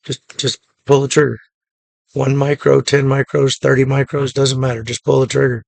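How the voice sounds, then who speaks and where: A man with a hoarse, gravelly voice from a cough, recapping a day trade in a relaxed, matter-of-fact way and repeating his points. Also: medium pitch, normal speed, no room sound. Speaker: day trading channel host. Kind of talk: casual screen-share trade recap.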